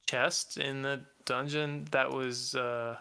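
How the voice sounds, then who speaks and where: A man's voice: mid-range voice, flat delivery, slow pace, vocal fry, bright, forceful tone, clear, resonant tone. A man chatting casually as a player in a tabletop game.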